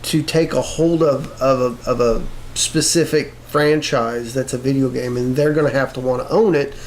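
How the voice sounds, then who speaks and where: A man's voice: medium pitch, steady tone, moderate pace. A man co-hosting a casual talk show, giving his opinions conversationally. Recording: noticeable background noise.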